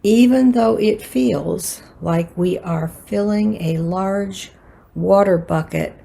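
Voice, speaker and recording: An older American woman reading a prepared personal reflection for a worship service in a measured, earnest manner. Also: medium pitch, gravelly, warm tone, husky. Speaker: worship associate.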